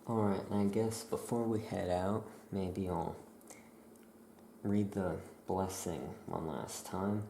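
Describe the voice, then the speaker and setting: A soft ASMR roleplay voice, playing a witch who keeps a shop. It is deep and gravelly, with a gentle, hushed delivery that moves very slowly. A faint ambient noise sits behind it. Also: fairly even intonation.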